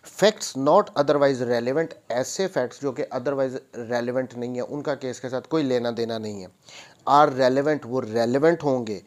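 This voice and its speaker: A man's voice: medium pitch, a little mellow. A man with a Pakistani accent lecturing on statute, reading out and then explaining in a repetitive, bilingual way. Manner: explanatory, repeats and rephrases points, switches between Urdu and English. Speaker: law lecturer, advocate.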